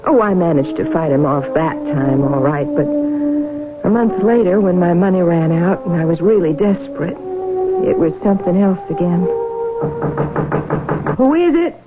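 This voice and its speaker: A woman's voice: lowish voice, sing-song, moderate pace, long pauses. An actress playing a weary, vulnerable young farm woman in a radio drama, wistful and plaintive. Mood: guarded. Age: young adult. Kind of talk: radio drama acting, confessional narration. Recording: tinny vintage radio, music behind.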